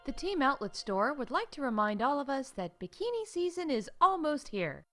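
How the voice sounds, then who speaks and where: A woman's voice: high voice, sing-song, moderate pace, fairly bright tone, faint music behind. A woman playing a chipper, glib theme park announcer reading comedic corporate updates in an audio drama.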